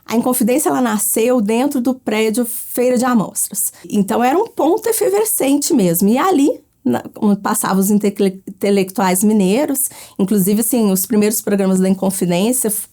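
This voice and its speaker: A woman's voice: mid-range voice, warm tone. A Brazilian woman talking informally and enthusiastically in an interview. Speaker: interviewee on radio history.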